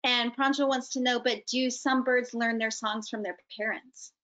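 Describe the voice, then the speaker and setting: A woman's voice: on the high side, fairly even intonation, moderate pace, a bit bright. A middle-aged woman hosting casually and relaying audience questions over a video call. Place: indoor room on a video call. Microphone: computer video call. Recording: dull, lo-fi video call audio.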